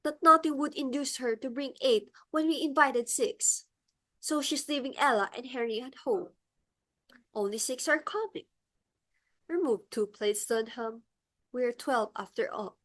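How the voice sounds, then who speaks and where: A woman's voice: high-pitched, measured pace, fairly bright tone. A woman playing a flustered, imperious society mother in a stage comedy, with emphatic, theatrical exclamations. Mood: harried, vexed. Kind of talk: comedic stage-play acting.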